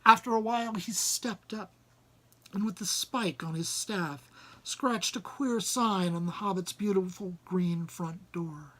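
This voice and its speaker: A man's voice: high voice, a little slow, sharp tone. A man reading and hosting, moving between storybook narration and informal commentary. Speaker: reader and host.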